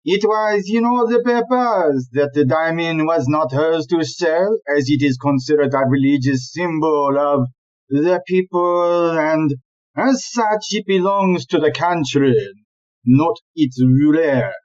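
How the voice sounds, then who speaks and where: A woman acting in an audio play as a smooth-talking, dismissive male police chief inspector who is a philanderer. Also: sits low, slow pace, darkish tone, dull audio. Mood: composed.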